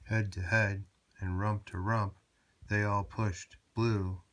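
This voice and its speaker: Slow-paced man reading a children's storybook. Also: fairly low pitch, flat delivery, fairly bright tone, a little hiss or hum. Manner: measured, rhyming read-aloud with short asides to a child. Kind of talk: read-aloud children's storybook narration.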